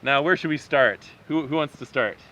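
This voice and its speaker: A man hosting a lively group chat, playful, laughing often and imitating animal sounds. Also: medium pitch, normal speed, slight background noise. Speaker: host.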